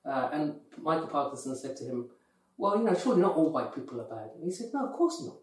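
A man's voice: a little high, very animated, fast talker. A man from the UK co-hosting a podcast, speaking earnestly and telling anecdotes with many fillers. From UK, of Pakistani heritage. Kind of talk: earnest conversational chat. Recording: echoey room, distant from mic.

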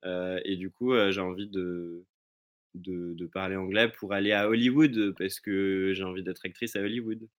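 Guest, young man, very low voice, hesitant and chatty over a remote call. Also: steady tone, moderate pace. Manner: rambling, many fillers and restarts. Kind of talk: casual remote chat.

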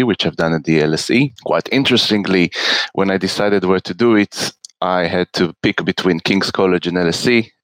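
Man in his thirties, podcast guest: very low voice, measured, dry close sound. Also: no room sound, poor audio. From Israel. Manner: measured, repeats words while searching. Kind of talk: conversational interview answers.

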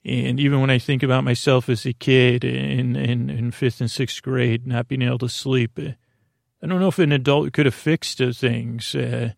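Gravelly, meandering man, even-toned. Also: mid-range voice, no room sound, studio quality. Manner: hesitant, meandering, halting, trailing off. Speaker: podcast host. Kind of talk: rambling bedtime podcast monologue.

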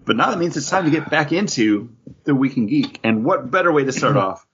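A man's voice: medium pitch, lively intonation, fairly quick, fairly bright tone, husky, dull audio. A man hosting a podcast in casual, chatty talk with friends. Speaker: podcast host. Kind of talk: casual panel chat about beer and geek news.

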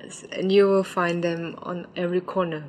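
Hesitant young woman, low voice. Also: flat delivery, moderate pace, darkish tone, slight background noise. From Ukraine. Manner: hesitant, with many fillers and restarts. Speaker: guest, raw pastry chef and founder. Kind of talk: casual interview answers.

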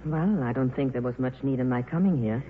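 An actress playing a frank, loyal, worldly film star in a 1950s radio drama. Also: female voice, rumbling low, brisk. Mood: frank, concerned. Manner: plain-spoken, earnest. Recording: tinny, noisy 1950s radio broadcast.

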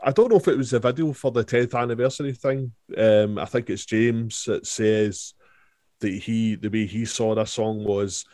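A man, chatting about an album as a podcast co-host, halting and full of restarts. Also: lowish voice, fairly even intonation, warm tone, dry recording, studio quality. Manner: halting, many fillers and restarts. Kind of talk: casual album discussion.

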